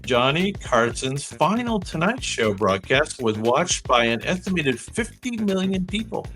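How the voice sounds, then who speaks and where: Lively, bright-voiced man hosting trivia. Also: on the high side, a little slow, faint music behind. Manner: chatty, rambling asides between read-out facts. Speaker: live trivia show host. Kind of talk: casual live-stream trivia hosting, reading clues and chatting.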